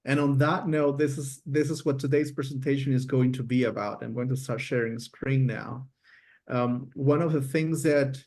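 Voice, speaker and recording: A man's voice: medium pitch, darkish tone. A man from Peru with a Peruvian Spanish accent, co-hosting an online workshop and inviting his partner to speak. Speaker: workshop co-host.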